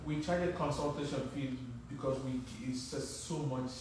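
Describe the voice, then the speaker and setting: A man's voice: mid-range voice, steady tone, normal speed, darkish tone, slight background noise. Nigerian-accented male surgeon, middle-aged, emphatic explainer, echoey distant recording. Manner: emphatic, repeats words while thinking. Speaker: plastic surgeon. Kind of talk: interview on a live stream. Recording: echoey room, mic at a distance.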